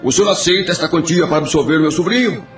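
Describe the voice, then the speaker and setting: An actor playing an implacable criminal blackmailer in an audio drama, formal and insinuating, with quiet menace. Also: male voice, a little high, fast talker, reverberant, faint music behind, natural voice. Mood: coolly menacing. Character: implacable male blackmailer, smooth-talking criminal uncle.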